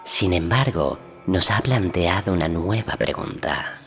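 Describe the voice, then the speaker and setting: A man voicing a grave owl-headed wise woman, mother of intuition, in a storybook, speaking in a measured, formal way. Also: low voice, expressive, a bit bright, faint music behind, voice pushed up. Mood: solemn, questioning.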